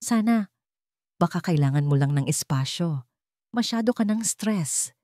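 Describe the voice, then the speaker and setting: A woman's voice: lowish voice, expressive, unhurried, warm tone, no echo, clean studio sound. Woman doing a weary, cold husband. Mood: dismissive. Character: weary, stern wealthy man, disbelieving husband.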